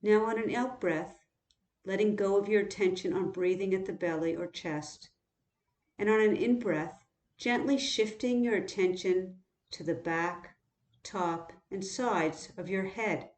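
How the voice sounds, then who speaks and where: Slow-paced woman guiding meditation, roomy sound. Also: medium pitch. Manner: calm, unhurried, instructional, with long pauses. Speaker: meditation teacher. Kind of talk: guided body scan meditation.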